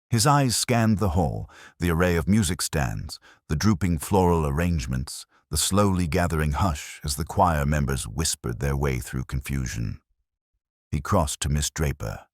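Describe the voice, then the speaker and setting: Very deep-voiced male mystery narrator. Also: dry recording, clean studio sound. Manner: measured, literary storytelling. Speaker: audiobook narrator.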